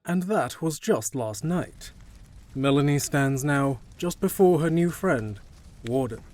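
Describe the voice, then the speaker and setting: A male narrator of an audio drama, reading scripted scene descriptions. His voice sits a little higher than most men's. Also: expressive, slow, a little mellow.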